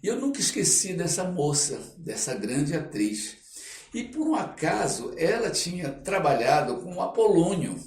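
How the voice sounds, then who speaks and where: An older man with a Brazilian accent, telling anecdotes as an interview guest in a halting, reflective, casual way. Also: on the high side, sing-song, a little mellow. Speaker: interview guest, actor and screenwriter. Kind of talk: casual podcast storytelling. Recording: roomy echo, distant microphone.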